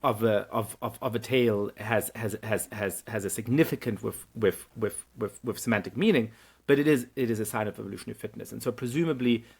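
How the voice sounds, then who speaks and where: A man's voice: on the low side, a little fast, creaky, a little mellow, slightly rough. A middle-aged man hosting an interview podcast, thinking aloud with stumbling repetitions. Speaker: podcast host. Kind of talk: conversational interview.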